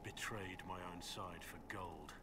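A man voicing a brooding, guilt-ridden bearded warrior in a video game, speaking low and gravelly. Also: measured pace, background noise.

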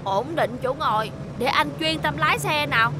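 A woman's voice: high voice, moderate pace, background noise, close to an everyday voice. A woman dubbing a young, fretful but kindly cartoon school bus who pleads politely with children. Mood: worried, pleading. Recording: studio dub with music behind.

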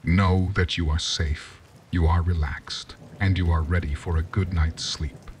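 A man with a deep voice leads a guided sleep meditation. He speaks very slowly, calmly and gently, as a soothing narrator giving instructions. The voice sits somewhat away from the microphone, with rain in the background. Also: far from the mic, slight background noise.

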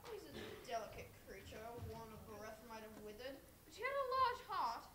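A woman's voice: a little high, sing-song, normal speed. A woman on stage playing a solemn, commanding Christmas spirit in a live play. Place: stage in a dim auditorium. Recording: echoey live stage, faint room noise.

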